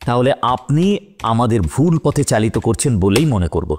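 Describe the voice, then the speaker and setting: A male actor plays a stern judge presiding over an audio drama courtroom. His mid-pitched voice is formal and commanding, with lively rises and falls. There is some background noise on the recording. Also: moderate pace, close to an everyday voice.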